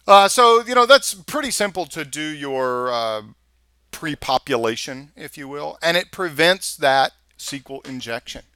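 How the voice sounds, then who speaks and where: A man with a Texas accent, walking through a software screencast in a casual, think-aloud way. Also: fairly high pitch, lively intonation, measured pace, smooth, no room sound. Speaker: software instructor. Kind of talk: screencast tutorial walkthrough. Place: voice-over of a screen recording.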